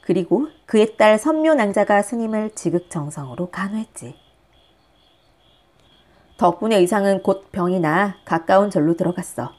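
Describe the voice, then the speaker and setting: A woman storyteller reads a children's picture book with very animated intonation. Her middle-pitched voice swings up and down expressively as she addresses young listeners. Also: moderate pace.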